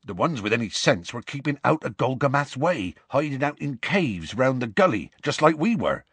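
Huge gruff bloke for an audiobook, raspy, rambly, warm hearted, really animated. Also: male voice, medium pitch, normal speed, no room sound, voice pushed up, full character voice. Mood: earnest explaining. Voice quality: gruff, growly. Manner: colloquial, rambling, dropped consonants. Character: big gruff rustic man, warm-hearted, indignant storyteller.

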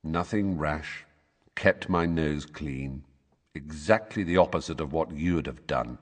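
Man voicing a sarcastic, swoopy-pitched teenage boy. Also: wildly swooping pitch, measured pace, no room sound, full character voice. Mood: wry, dull. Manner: taunting, sarcastic. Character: sullen, mocking teenage boy goading his cousin.

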